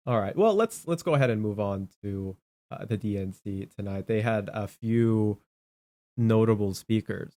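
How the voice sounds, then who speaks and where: This is a man with a fairly low voice hosting an informal political podcast. He chats casually and comes across as blunt and dismissive. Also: a little mellow, dry recording. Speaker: podcast host.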